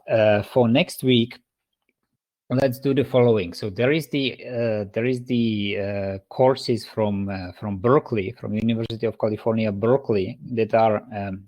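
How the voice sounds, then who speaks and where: A man's voice: on the low side, a little mellow. A man with a Czech accent giving an online university lecture over a video call, stopping and restarting often with many fillers. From Czech Republic.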